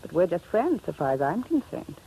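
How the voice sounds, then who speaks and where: A woman's voice: lowish voice, sing-song, a little fast, dark tone, breathy edge, exaggerated voice, low-quality recording. A woman playing a cool, hard, money-minded glamour girl in 1950s radio noir, offhand and unsentimental.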